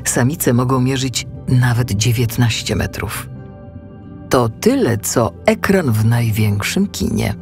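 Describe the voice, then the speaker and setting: A woman's voice: extremely low pitch, animated, takes it slowly, a little mellow, soft background music. A woman narrating a scripted children's story as its storyteller.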